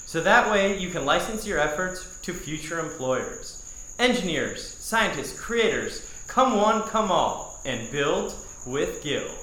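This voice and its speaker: A man's voice: sits high, sing-song, takes it slowly, bright, forceful tone, slight background noise. A young man with an American accent, co-hosting a podcast with casual chat and ad reads in an echoey room. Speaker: podcast co-host. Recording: roomy, echoey sound.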